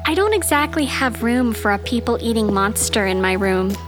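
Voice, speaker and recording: A woman's voice: on the high side, lively intonation, moderate pace, over music, natural voice. A woman voicing a bold young girl who speaks firmly and sarcastically. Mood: sarcastic. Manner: sarcastic, firm.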